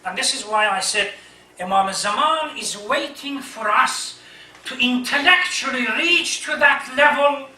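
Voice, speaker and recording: A man, a native Persian speaker, delivering an impassioned, emphatic religious lecture as an imam, halting at times and posing rhetorical questions. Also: very high-pitched, pushed and bright. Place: echoey hall, speaking to a congregation. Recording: roomy distant mic, faint noise.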